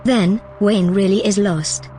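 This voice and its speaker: A woman's voice: medium pitch, fairly even intonation, slow, warm tone, natural voice. A woman playing a determined artificial intelligence in an audio drama, composed, persuasive and insistent. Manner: composed, insistent, matter-of-fact. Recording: music behind the voice.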